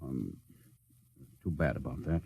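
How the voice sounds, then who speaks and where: An actor playing a commanding, short-tempered rocket ship captain in 1950s radio drama style, clipped and authoritative. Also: male voice, rumbling low, animated, measured pace, vocal fry, a little mellow, slightly rough, heavily acted. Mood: calm authority. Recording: vintage 1950s radio recording.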